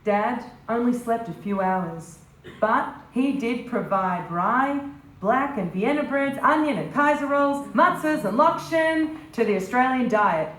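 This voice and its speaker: A woman's voice: a little high, lively intonation, unhurried, fairly bright tone. A woman giving a fond dramatic reading as a bakers' daughter remembering her parents. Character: bakers' daughter fondly recalling hardworking parents. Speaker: stage reader. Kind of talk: dramatic reading of family memories. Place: indoor live literary event. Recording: echoey hall, distant mic.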